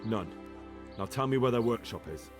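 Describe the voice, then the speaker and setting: An actor playing a bold, blunt young investigator in a video game, direct and challenging. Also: male voice, lowish voice, expressive, moderate pace, faint music behind, close to an everyday voice. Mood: firm, pressing.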